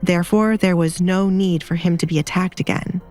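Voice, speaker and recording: A woman's voice: fairly low pitch, steady tone, normal speed, dark tone. A woman narrating a scripted dramatic story as an audiobook narrator. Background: background music.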